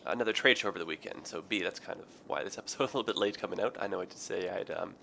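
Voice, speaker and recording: A man with a raspy, hoarse, tired voice, rambling through casual game commentary with many ums and self-corrections. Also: deep voice, rapid-fire, bright, forceful tone, a little hiss or hum. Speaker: gaming video host. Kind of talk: casual let's play commentary.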